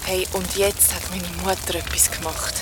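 A woman's voice: fairly low pitch, little pitch movement, moderate pace, heavy background noise, natural voice. A woman playing a young ghost, a hurt and defensive daughter, with a Swiss German accent in an audio horror drama. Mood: shaken storytelling. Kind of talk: audio horror drama acting, partly narrating. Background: music.

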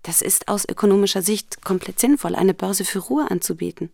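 A woman's voice: mid-range voice, fairly quick, gravelly, darkish tone, soft background music. A woman speaking conversationally, asking questions and commenting.

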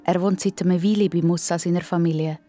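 A woman's voice: on the low side, steady tone, fast talker, mellow, faint music behind. A woman with a Swiss German accent narrating a scripted story for children.

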